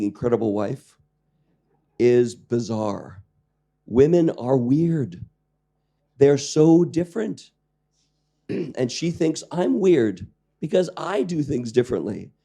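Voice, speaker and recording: Croaky man lecturing a class. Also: medium pitch, warm tone. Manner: jokey, engaging the audience with questions. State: croaky voice, clearing throat. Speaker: lecturer on public-private partnerships. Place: lecture room with live audience.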